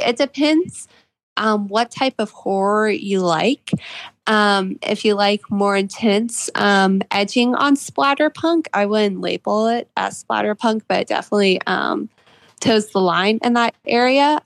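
A woman with noticeable vocal fry answers as an interview guest. She explains herself earnestly and carefully, like an author discussing her work. The sound is close and dry. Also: medium pitch, a little slow, no echo. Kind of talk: interview conversation.

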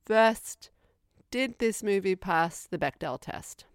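A woman's voice: mid-range voice, lively intonation, slow pace, clean tone, no room sound, clean studio sound. An Australian woman co-hosting a podcast, reviewing a film in casual chat and eagerly running through lists of details. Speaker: podcast co-host.

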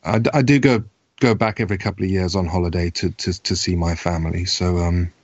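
A man's voice: deep voice, fairly even intonation, fairly quick, dry recording. A man in his forties from Zimbabwe, chatting in a friendly, self-deprecating way, often restarting his sentences. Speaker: podcast guest. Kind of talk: casual podcast chat. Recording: dull lo-fi remote audio.

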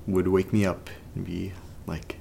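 A man playing an uneasy young man who reads strange letters aloud in a horror audio drama, halting and brooding. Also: little pitch movement, gravelly, dark tone, breathy edge, exaggerated voice. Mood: troubled, uneasy. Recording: faint low rumbling noise.

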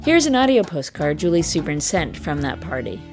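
A woman's voice: low-pitched, big pitch swings, normal speed. Woman hosting over a music bed. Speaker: podcast host. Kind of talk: scripted narration. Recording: music playing under the voice.

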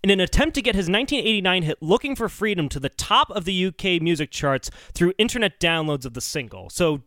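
A man co-hosting a podcast, telling researched stories in a casual, animated chat. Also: sits high, fast talker, no room sound, studio quality. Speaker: podcast co-host.

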